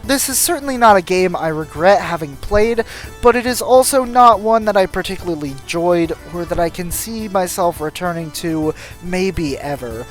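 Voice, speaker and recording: A man's voice: high-pitched, lively intonation, normal speed, soft background music. A man reading scripted voice-over commentary as a video game reviewer.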